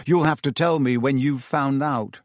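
A man's voice: medium pitch, moderate pace, no echo, studio quality, natural voice. A man reading a glum teenage boy. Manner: heavy, bitter. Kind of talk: audiobook character voice.

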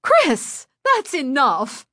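Indignant female music teacher, played by a woman narrator: high, shouting, sputtering, very animated. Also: drawn-out words, no room sound, studio quality, heavily acted. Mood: alarmed, scolding.

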